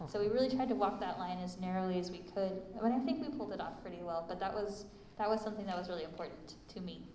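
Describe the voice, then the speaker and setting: A woman answers a fan's question on a convention panel in an earnest, careful way. Her pitch sits in the middle range. The hall adds a strong echo over a faint noise. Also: fast talker, warm tone. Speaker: voice actor panelist.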